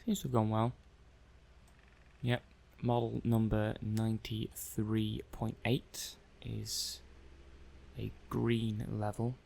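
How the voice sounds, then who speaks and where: A man acting as a methodical robot-testing technician in an ASMR roleplay. His voice is creaky and even in pitch, and he works through things slowly and hesitantly, half muttering to himself in a mild, matter-of-fact way. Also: heavily acted. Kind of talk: soft-paced ASMR roleplay monologue.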